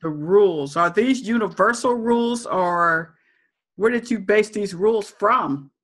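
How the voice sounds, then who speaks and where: A woman's voice: mid-range voice, a little slow. A middle-aged woman, interviewing conversationally as a talk show host on a video call. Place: indoor room.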